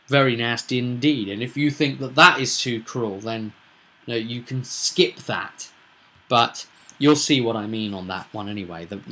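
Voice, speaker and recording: Man with a bright, forceful voice, chatting casually. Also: mid-range voice. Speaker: game master presenting own session recap. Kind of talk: casual unscripted commentary on a tabletop roleplay game.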